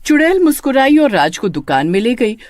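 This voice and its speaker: A woman's voice: fairly high pitch, very animated, fairly quick, a little mellow, no echo, studio quality. A woman narrator reading a scripted horror story.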